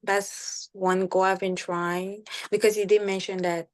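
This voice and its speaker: A woman's voice: mid-range voice, flat delivery, unhurried. A young woman from Cameroon introducing herself on a video call, shy and hesitant with lots of fillers. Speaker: networking call participant. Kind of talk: casual self-introduction.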